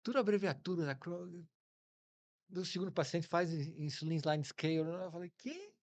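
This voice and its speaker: A man's voice: a little high, expressive, moderate pace. A middle-aged man with a Brazilian Portuguese accent, talking casually as an interview guest and acting out bits of dialogue as he tells his stories. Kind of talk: casual anecdotal interview talk.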